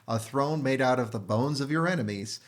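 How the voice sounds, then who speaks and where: A man's voice: medium pitch, fairly quick. A man chatting casually as a podcast panelist, with a dry, sarcastic manner. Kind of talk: casual chat.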